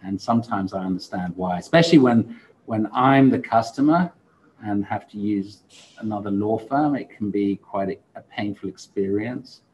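A man's voice: fairly low pitch, normal speed, a little mellow, a little hiss or hum, poor audio. A man in his forties speaking casually as a podcast host in conversation. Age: 40s. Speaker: podcast host and law firm founder. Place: indoor room, seated.

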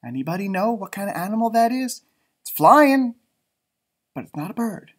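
A man in his thirties doing an expressive, playful children's storybook read-aloud, asking listeners questions as he goes. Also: high voice, normal speed, breathy edge. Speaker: elementary school storybook reader. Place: indoors, school library.

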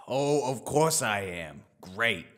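A man's voice: lowish voice, expressive, very slow, a bit bright, full character voice. Man acting a grumpy crook in echoey space. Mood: resigned. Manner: brusque, exasperated. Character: bossy, grumpy, conceited male crook. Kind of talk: audio theater acting. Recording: very echoey, music underneath.

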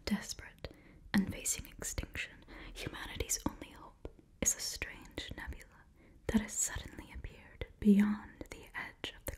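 A woman's voice: medium pitch, a little mellow, breathy edge. A young woman reading scripted material in an ASMR whisper, close to the microphone. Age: young adult. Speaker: ASMR creator reading a sponsor message.

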